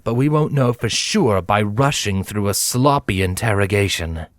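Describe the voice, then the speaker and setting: A smooth, silvery pony officer as a man narrating puts him on. He talks in a measured, placating way with patient, condescending calm, like a storybook commander. The sound is dry and close. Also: lowish voice, no echo, lightly acted. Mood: calmly authoritative. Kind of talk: storybook character voice in fan fiction reading.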